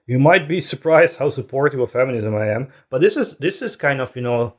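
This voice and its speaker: A man's voice: mid-range voice, lively intonation, fairly quick. A non-native English-speaking man co-hosting a comedy podcast in casual chat, self-deprecating and thinking aloud with restarts. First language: not English. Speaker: podcast co-host.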